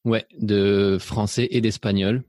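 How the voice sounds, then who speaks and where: A man's voice: lowish voice, fairly even intonation, takes it slowly, a little mellow, no room sound. A middle-aged man from Spain with a mixed French accent, talking about his life in a hesitant way, full of fillers and restarts. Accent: mixed French, slight Belgian. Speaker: interview guest. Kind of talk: reflective personal interview.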